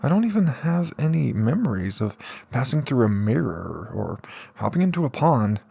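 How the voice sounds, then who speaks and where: A man's voice: mid-range voice, sing-song, normal speed, gravelly, dark tone, breathy edge, full character voice. A man voicing a frustrated, self-mocking young man in an audiobook, muttering and complaining. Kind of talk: audiobook character reading.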